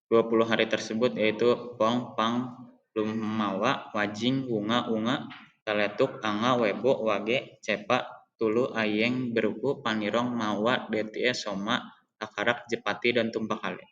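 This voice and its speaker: A young man reads out a school report in a steady, list-like way, stumbling now and then on a word. His voice sits fairly low and hardly moves in pitch. The recording sounds dull and lo-fi. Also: a little slow. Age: young adult. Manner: even, list-like recitation with occasional slips and restarts. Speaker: high school student presenting. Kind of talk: reading a school presentation aloud.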